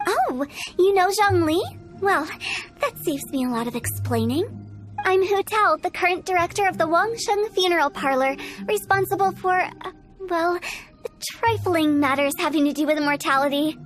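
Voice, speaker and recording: A quirky young female funeral director from a video game. Her very high voice is cheerful and theatrical, teasing and playfully morbid, over faint music. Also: moderate pace, a bit bright. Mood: playful, breezy. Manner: theatrical, teasing sales patter. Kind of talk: video game character dialogue.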